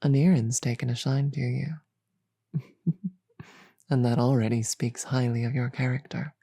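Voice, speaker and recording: A woman voicing a regal, teasing, magnanimous demon queen in a roleplay audio drama, with a deliberate, amused drawl. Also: extremely low pitch, fairly even intonation, vocal fry, breathy edge, no room sound, clean studio sound, heavily acted. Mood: warm, magnanimous. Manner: slow, deliberate, amused drawl with soft chuckles.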